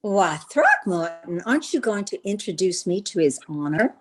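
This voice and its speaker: A woman's voice: medium pitch, sing-song, normal speed, close to an everyday voice. An actress as a flirtatious society lady in a vintage radio comedy. Her voice swoops expressively as she coaxes, gushes and pushes coyly to get her way. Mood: gushing. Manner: coaxing, insistent, gushing. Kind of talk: old-time radio comedy acting.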